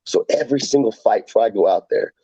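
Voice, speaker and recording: A man guesting on a podcast, talking fast and rambling excitedly, swearing freely and acting out what others said. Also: lowish voice, breathy edge, no echo, low-quality recording. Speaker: guest fighter. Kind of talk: casual podcast chat.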